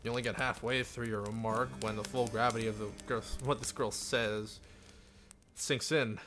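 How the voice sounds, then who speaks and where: A man's voice: on the low side, fairly even intonation, normal speed, over music. A man reading a visual novel aloud on a live stream as narrator, in a theatrical storybook delivery.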